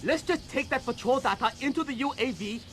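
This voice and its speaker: A man's voice: very high-pitched, brisk, fairly bright tone, faint music behind. An actor playing a casual, sardonic male guard in a video game, jumpy under pressure. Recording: clear ambient noise behind.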